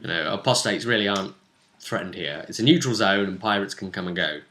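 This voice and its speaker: A man's voice: low-pitched, brisk, pushed and bright. A man casually recapping a tabletop game as host and game master, acting out quotes as he retells it.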